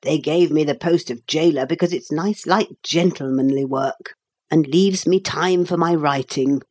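A woman voicing a polite, cordial, self-important gentleman jailer with literary airs in a storybook. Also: low-pitched, unhurried, breathy edge. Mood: chatty, self-satisfied.

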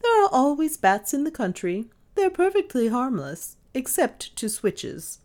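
A woman as older household mistress, no-nonsense, decisive, crisp, imperious, highly animated intonation, audiobook character. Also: unhurried, full character voice. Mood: brisk, dismissive.

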